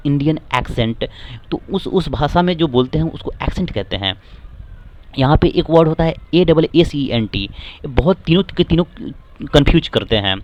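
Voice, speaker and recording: A man with an Indian accent explaining an English newspaper editorial in Hindi to exam students, reading phrases slowly and spelling words out letter by letter. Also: a little high, slight background noise. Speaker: English teacher.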